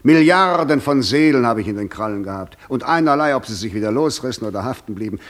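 A man's voice: medium pitch, lively intonation, fast talker, natural voice. A man acting the Devil in a German radio play, proud, sardonic and eloquent, with grand, ironic delivery. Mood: musing, grandiose. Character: the Devil, proud, sardonic, worldly-wise old tempter.

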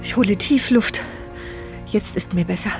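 A woman voices a mute, paralyzed young woman who tells her story from the inside, in a radio drama. She speaks in a reflective, intimate way at a middle pitch. Faint music plays behind her. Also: moderate pace, gravelly, close to an everyday voice. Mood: anxious. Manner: intimate, reflective inner narration. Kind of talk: radio play inner monologue.

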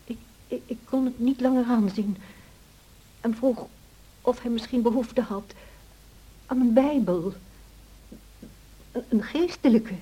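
Earnest housekeeper, slightly husky. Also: female voice, a little high, takes it slowly, long pauses, mellow, rough recording. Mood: anxious. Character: Nelly Dean, loyal anxious housekeeper recounting past events. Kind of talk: radio drama narration, storytelling to a listener.

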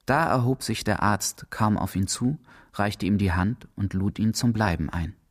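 A man's voice: fairly low pitch, unhurried. A man reading scripted literary narration as the narrator of a radio drama.

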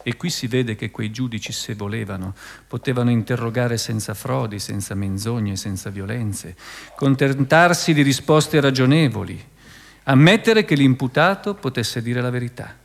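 A man narrating a scripted radio play in a measured, dramatic, theatrical manner. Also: mid-range voice, creaky. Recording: long hall reverb, music behind.

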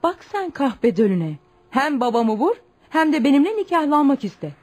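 An actress playing a bold, determined young village woman in a radio play, insistent and sharp-tongued. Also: high voice, sing-song, normal speed, soft background music. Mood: indignant. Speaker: actor. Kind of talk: radio theater acting.

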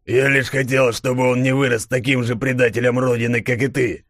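A man acts out a dying spymaster father in an audiobook drama. He sounds hoarse and earnest, commanding yet full of remorse, in a mid-pitched voice recorded dry. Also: little pitch movement, fairly quick, fairly bright tone, dry recording. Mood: remorseful.

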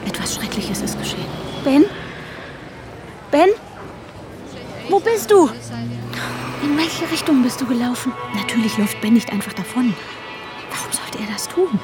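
An actress playing an anxious wife searching for her missing husband in a radio drama, urgent and breathy, often slipping toward a whisper. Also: sits high, lively intonation, moderate pace, long pauses. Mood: panicked. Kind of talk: radio drama acting with intimate inner monologue. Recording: guitar music behind the voice. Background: guitar music.

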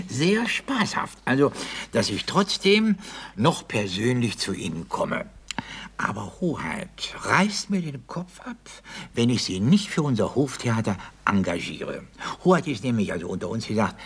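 Pompous court official, muddled and repetitive, husky voice, animated swoops, radio comedy. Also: male voice, medium pitch, heavily acted. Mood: amused, bumbling. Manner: pompous, halting, repeats phrases. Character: pompous, scatterbrained old-school aristocratic court theatre official. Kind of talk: German radio play comedy acting.

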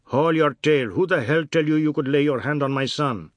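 A man's voice: medium pitch, expressive, unhurried, no room sound. Man voicing a stern, protective father. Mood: angry. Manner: blunt commands, creole grammar. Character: stern, protective father, gruff and curt with workmen.

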